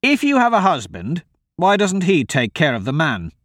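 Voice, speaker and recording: A man's voice: fairly high pitch, wildly swooping pitch, normal speed, a bit bright, no room sound, studio quality, pitched above the performer's own voice. A man voicing a good-natured, optimistic adventurer in a fantasy audiobook, earnest and persuasive. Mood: questioning.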